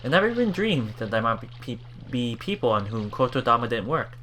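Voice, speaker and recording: A man hosting a let's play, reading game text aloud and adding casual, halting commentary with repeated words and exclamations. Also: mid-range voice, sing-song, a bit bright, a little hiss or hum. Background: game music.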